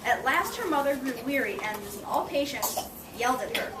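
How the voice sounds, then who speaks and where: A woman's voice: high-pitched, animated, fairly quick. A young woman narrating a fairy-tale puppet show for children in storybook style. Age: young adult. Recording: echoey room, distant mic, noisy background.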